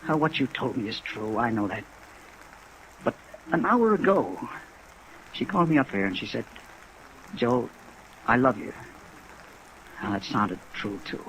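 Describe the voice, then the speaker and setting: A man acting a troubled, halting, bewildered man in love in a 1950s radio drama. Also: medium pitch, sing-song, a bit bright, a little hiss or hum, low-quality recording. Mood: anguished, bewildered. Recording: vintage radio recording, faint rain.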